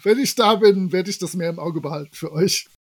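Male podcast guest with a distinctly high voice, casual and jokey conversational delivery. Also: fairly quick. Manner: playful, jokey.

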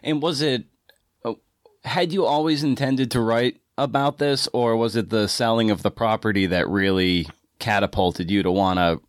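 A man's voice: on the low side, moderate pace, dry recording. A man hosting an interview podcast, asking casual questions in a rambling, tentative way with repeated words.

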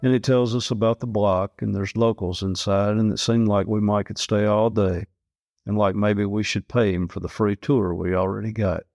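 A man's voice: on the low side, fairly even intonation, moderate pace, darkish tone, no room sound, faint music behind. A man reading a first-person travel story with folksy, colloquial phrasing. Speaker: story reader, farmer.

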